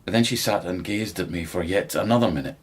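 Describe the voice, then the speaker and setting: An actor playing a courteous, plain-spoken palace policeman of humble birth in a radio drama, husky and respectful. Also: deep voice, brisk. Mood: puzzled.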